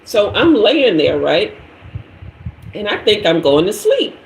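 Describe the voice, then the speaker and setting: Animated woman telling a story, echoey room. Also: medium pitch, takes it slowly, vocal fry, a little hiss or hum. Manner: lively, acts out every voice in the story. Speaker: tarot reader and host. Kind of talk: animated storytelling to a live audience.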